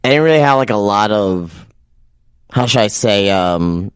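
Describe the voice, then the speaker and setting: A fairly low-voiced man with a lively, swooping delivery who stammers through restarts in casual podcast chat. The sound is dry and close. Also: a little slow, smooth, no echo. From Texas. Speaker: podcast co-host.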